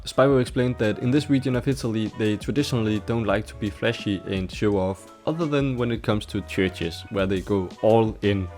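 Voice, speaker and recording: A man's voice: fairly low pitch, vocal fry, darkish tone. A young Danish man narrating his solo travel vlog, candid and self-deprecating about filming himself among strangers. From Denmark. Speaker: travel vlogger. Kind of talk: voice-over narration. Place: hostel and city streets.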